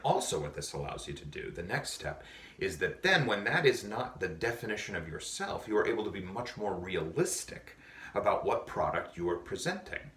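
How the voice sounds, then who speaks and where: Deep voiced guy giving drama kids a slow serious pep talk, mic kinda far and roomy. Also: big pitch swings, sharp tone. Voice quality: low voice. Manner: earnest, measured advice with many pauses. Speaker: awards presenter. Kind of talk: prepared speech to drama students. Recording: echoey, distant mic.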